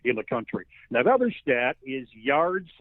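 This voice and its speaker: A man's voice: medium pitch, sing-song, moderate pace, dry recording. Man on a thin phone line, talking football. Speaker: sports play-by-play broadcaster, interview guest. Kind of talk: conversational football analysis.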